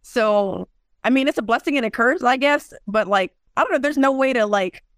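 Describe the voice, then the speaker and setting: A woman's voice: a little high, moderate pace, no room sound, clean studio sound. A young woman, a guest in a casual interview, telling stories and acting out what people said. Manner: animated storyteller, acts out quotes.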